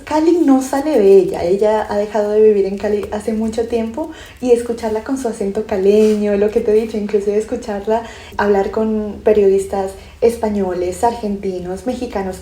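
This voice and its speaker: Young Colombian woman with a Pasto accent, enthusiastic podcast co-host, very dark tone, roomy sound. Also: mid-range voice. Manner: enthusiastic, explanatory, frequent restarts. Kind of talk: casual book-discussion podcast.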